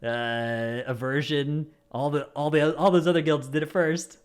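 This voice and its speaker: A man's voice: on the high side, fairly even intonation, a little slow, crowd noise. A man hosting a gaming podcast in a casual, chatty style. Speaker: podcast host.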